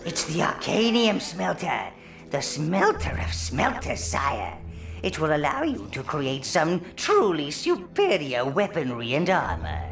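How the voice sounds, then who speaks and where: An actor playing an old, servile and gleefully wicked minion advisor in a fantasy game, with a sly, obsequious manner. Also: male voice, on the high side, wildly swooping pitch, a little slow, creaky, fairly bright tone, heavily acted. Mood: sly, gleeful servility. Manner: obsequious, sly, relishing mischief. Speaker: advisor and guide to the player. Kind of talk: theatrical fantasy game voice acting. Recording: game music behind the voice.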